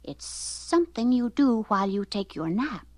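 A woman voicing a loving, gently firm mother bear in a storybook, patient and soothing. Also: fairly high pitch, animated, moderate pace, dry recording, natural voice.

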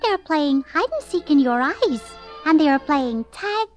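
Woman voicing a high, chirpy mother bluebird. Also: lively intonation, measured pace, faint music behind. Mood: cheerful, instructive. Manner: chirping, singsong, emphatic. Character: cheery motherly female bluebird, chirpy and briskly wise. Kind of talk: children's storybook character voice.

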